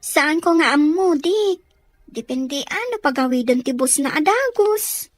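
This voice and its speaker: Talkative female aunt role played by a woman, lively intonation, gossipy, close dry drama sound. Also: high-pitched, unhurried, no echo, soft background music. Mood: informal, explaining. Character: talkative auntie answering a child's questions. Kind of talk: Ilocano radio drama acting.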